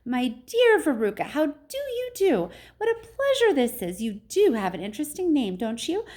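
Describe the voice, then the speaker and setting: An excitable, eccentric gentleman factory owner from a storybook, played by a woman. The voice is high and fluty and swoops about freely. He gushes politely in eager exclamations. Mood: effusive delight. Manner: gushing, exclamatory, quick-fire politeness. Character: excitable, effusive, eccentric old-fashioned male factory owner.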